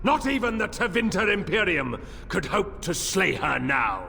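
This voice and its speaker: Fanatical temple cult boss in a fantasy game, bright declaiming voice, echoey hall. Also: male voice, high-pitched, big pitch swings, unhurried, creaky, full character voice. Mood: proud, menacing. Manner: zealous, declamatory, commanding. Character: fanatical male cult leader defending his temple. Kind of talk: dramatic fantasy game acting. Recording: echoing hall, background noise.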